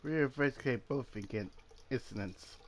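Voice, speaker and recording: A man's voice: medium pitch, moderate pace, over a noisy crowd. A man giving casual, joking commentary while he plays a game. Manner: joking, informal. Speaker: gaming streamer.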